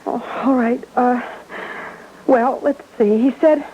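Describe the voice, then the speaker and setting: An actress playing an anxious, naive, excitable teenage girl in a 1950s radio drama, hesitant and deferential. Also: on the high side, takes it slowly, slight background noise. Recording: dull old radio recording.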